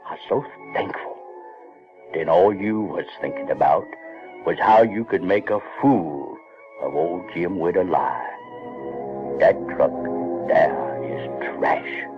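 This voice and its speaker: An actor playing an earnest, tender-hearted older Southern man with a heartfelt, rustic way of speaking in an audio drama. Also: fairly low pitch. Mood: grave reproach. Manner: slow, heartfelt, rustic grammar. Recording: dull vintage radio-drama recording, music behind.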